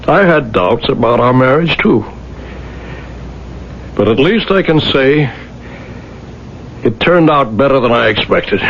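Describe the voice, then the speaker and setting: An actor in a 1970s radio drama playing a philosophical older husband, gentle and resigned. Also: male voice, medium pitch, creaky, heavily acted. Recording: muffled, hissy old radio recording.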